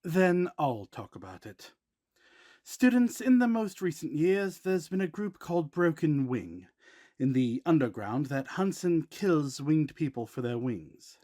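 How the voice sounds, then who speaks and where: Guy as supernatural grandpa, playful but protective, bit higher pitched, scripted school announcement banter. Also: sing-song, unhurried, mellow. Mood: serious, protective.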